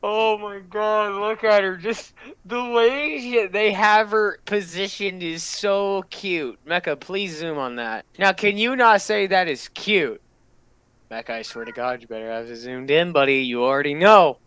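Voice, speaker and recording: An excitable reaction host with a clear, smooth voice at a middling pitch. The talk is casual, gushing and full of exclamations, as commentary on something being watched. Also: unhurried, dry recording. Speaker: reactor hosting a reaction video.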